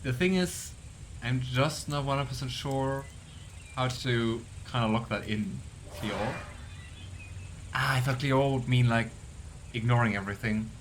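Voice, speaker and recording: A man giving casual gameplay commentary, chatty and curious, thinking out loud. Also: medium pitch, measured pace, a little hiss or hum. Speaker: let's play streamer.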